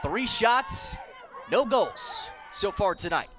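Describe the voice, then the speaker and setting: A man's voice: high-pitched, very animated, slow, shouting, crowd noise, noisy background. A man in his twenties delivering sports broadcast narration and commentary as a reporter and announcer. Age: 20s.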